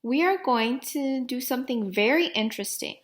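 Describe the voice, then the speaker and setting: Lady doing a friendly excited guy from a kids storybook, high and swoopy, announcing stuff. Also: moderate pace. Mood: eager. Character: eager friendly man, storybook monkey's companion.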